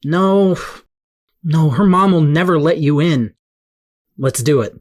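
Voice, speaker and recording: A man's voice: a little high, takes it slowly, darkish tone. Reluctant, down-to-earth teenage boy, played by a man. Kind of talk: audio drama dialogue.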